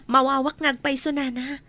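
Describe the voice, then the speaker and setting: A woman plays a gentle, trusting young student in a comedy radio drama. Her voice is very high, with an Ilocano accent. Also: fairly even intonation, a little slow. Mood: tired, polite.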